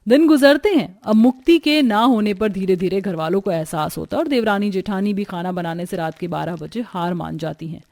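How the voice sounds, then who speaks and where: A woman's voice: medium pitch, a little fast, few pauses, darkish tone, dry recording, faint music behind. A woman giving scripted narration for a cartoon family story.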